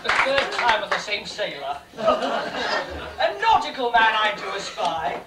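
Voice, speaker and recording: A man's voice: sits high, very animated, a little slow, a loud crowd. A man playing a mock-prim, flirtatious dame in a bawdy amateur pantomime, arch with innuendo. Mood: mock shock. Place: small bar stage, distant camera. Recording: echoey noisy bar, distant mic.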